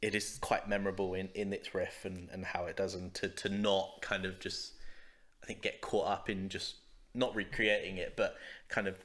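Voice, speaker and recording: A man's voice: deep voice, flat delivery, moderate pace, fairly bright tone. A man co-hosting a casual podcast chat among musicians, supportive and agreeable, often restarting his sentences.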